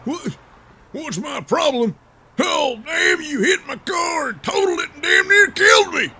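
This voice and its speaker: A man voicing an outraged motorist after a car crash, cursing and shouting indignantly in a comic audio drama. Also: very high voice, animated, unhurried, voice pushed up, full character voice. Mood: furious, indignant.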